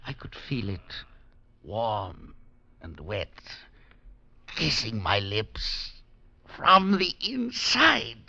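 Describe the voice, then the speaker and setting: An actor playing a haunted, terrified older professor in a 1940s radio drama, halting and breaking off his words in dread. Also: fairly low pitch, sing-song, vocal fry, pushed and bright, full character voice. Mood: fearful, urgent. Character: haunted aging male professor, terrified and shaken. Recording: dull old radio broadcast.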